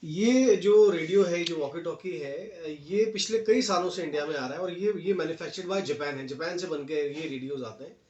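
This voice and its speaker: A man's voice: a little high, normal speed, hardly any pauses, dull audio. An Indian man presenting a casual unboxing review, explaining features to viewers in a friendly-address style with English terms mixed in. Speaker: video presenter and product reviewer. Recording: echoey room with steady noise and clicks.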